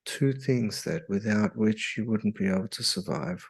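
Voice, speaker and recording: A man leading a guided meditation in a soothing, hypnotic, measured way with long pauses. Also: fairly low pitch, husky.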